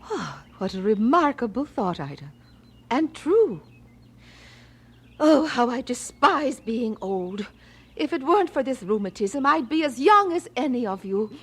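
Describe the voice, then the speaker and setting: Husky, expressive old mother. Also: a little high, a little slow, heavily acted. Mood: wistful, playful. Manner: wistful, gently dramatic storytelling with sighs. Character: frail, fond old missionary mother in her eighties, reminiscing.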